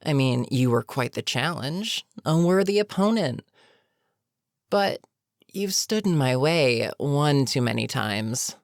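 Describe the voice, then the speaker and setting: Woman acting a low-voiced, weary older supervillain. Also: expressive, slow, darkish tone, dry recording, studio quality, full character voice. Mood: smug, menacing. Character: older, weary supervillain, menacing yet protectively concerned. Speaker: voice actor in audio roleplay. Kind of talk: dramatic audio roleplay monologue.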